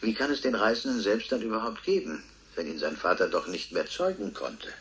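Low-voiced lecturing scientist, animated intonation. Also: male voice, slight background noise. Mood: explanatory. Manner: measured, lecturing, emphatic pauses. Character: male scientist expounding time travel to an audience. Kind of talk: radio drama acting.

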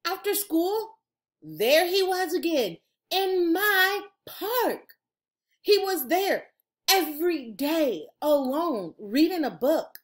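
A middle-aged woman reading a children's storybook aloud like a teacher, with dramatic, drawn-out emphasis. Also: very high-pitched, very animated. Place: room at home. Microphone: video call, computer at desk distance.

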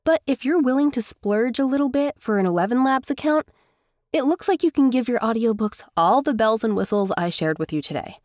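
A woman's voice: fairly high pitch, lively intonation, fairly quick, darkish tone, dry recording, studio quality. A woman narrating a scripted tutorial in a conversational, enthusiastic way as its host. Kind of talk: scripted tutorial narration over screen recording.

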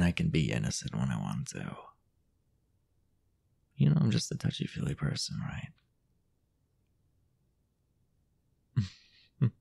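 A man playing a possessive, teasing young boyfriend in an improvised intimate roleplay audio, coaxing in a husky, breathy, hesitant murmur. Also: low voice, dark tone, full character voice. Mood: coy, affectionate. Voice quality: husky, gravelly, often breathy or whispered. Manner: hesitant, coy, coaxing murmur with drawn-out pauses.

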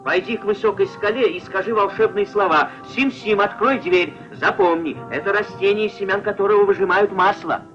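A man's voice: high-pitched, brisk, few pauses, natural voice. A man voicing a kind, honest, poor young man in a fairy tale, earnest and agreeable. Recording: dull vintage film soundtrack, music behind.

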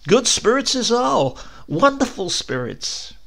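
A man voicing a greying old husband, dreamy and excitable, who enthuses wistfully. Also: extremely high pitch, slow pace, creaky, voice pushed up, heavily acted. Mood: gleeful. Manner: enthusing, wistful, hesitant when caught.

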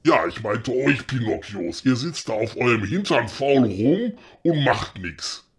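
A man playing a grumpy, bossy woodcarver in a comedy audio drama, blunt and colloquial, with a husky voice. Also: mid-range voice, very animated, a little slow, heavily acted. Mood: irritated. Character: grumpy, bossy male woodcarver of a fairy-tale workshop.

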